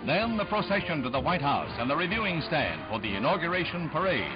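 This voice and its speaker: A male American newsreel narrator of the 1960s with a high-set, declamatory voice. His formal scripted delivery rides over loud music on a dull, band-limited vintage soundtrack. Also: sing-song, a little fast.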